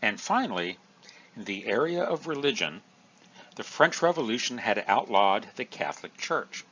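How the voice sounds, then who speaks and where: A man teaching a recorded history review lesson, explaining in a lively, emphatic way. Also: mid-range voice, normal speed, lo-fi sound, slight background noise.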